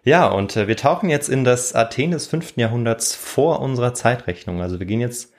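A man with a mid-pitched voice hosts a history podcast. He explains and narrates in a semi-scripted storytelling style, recorded indoors. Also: lively intonation, fairly quick. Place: warm indoor room.

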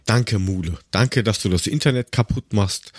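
A man's voice: on the low side, moderate pace, music in the background. A man hosting a casual comedy podcast, chatting and telling stories in a sarcastic, rambling way. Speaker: podcast host. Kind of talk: casual comedic chat and storytelling.

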